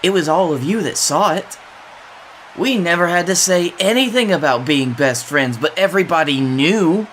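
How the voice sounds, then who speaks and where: A man playing an aggrieved, self-righteous wrestler giving a theatrical promo with emphatic pauses. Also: on the high side, animated, a bit bright, a little hiss or hum, voice pushed up. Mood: scornful.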